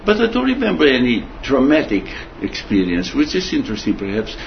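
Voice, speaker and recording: An older man telling his memories in an interview, hesitant and pausing, with many fillers and repeated words. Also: medium pitch, huge pitch swings, creaky, a little hiss or hum. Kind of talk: oral history interview. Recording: dull lo-fi recording.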